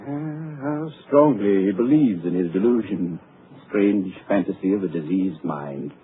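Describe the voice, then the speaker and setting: A self-assured doctor running an asylum in an old radio thriller, speaking in a lowish, brisk, patronizing tone. The recording is tinny with faint hiss. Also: male voice, animated. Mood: condescending. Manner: brisk, condescending, then smoothly polite. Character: officious male doctor in charge of a sanitarium. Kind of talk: 1940s radio acting. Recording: tinny, faintly hissy 1940s radio broadcast.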